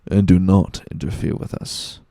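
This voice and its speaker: A man's voice: deep voice, little pitch movement, moderate pace, gravelly, warm tone, no echo, clean studio sound. A man voicing a scheming, manipulative ship's supercargo, calculating and glib, in a dramatic reading of a novel. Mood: scornful.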